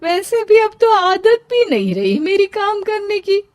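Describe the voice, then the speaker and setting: A woman voicing a selfish, lazy older mother in an animated moral story, drawling and dismissive. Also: very high voice, unhurried, no room sound, clean studio sound, voice pushed up, exaggerated voice.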